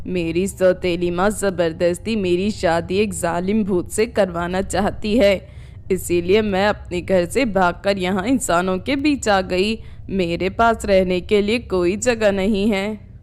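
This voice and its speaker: A sorrowful young witch from a cartoon tale, played by a woman. She pleads plaintively and slowly, pitched lower than the storytelling voice. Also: fairly even intonation, a little mellow, smooth, lightly acted. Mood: sad, pleading. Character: sorrowful young female witch, homeless runaway.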